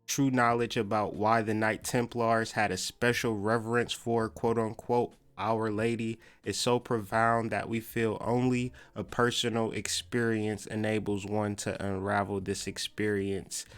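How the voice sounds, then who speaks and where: A man's voice: fairly low pitch, little pitch movement, measured pace, hardly any pauses. A man hosting a podcast, mixing casual slangy commentary with reading aloud and repeating phrases for emphasis. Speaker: podcast host.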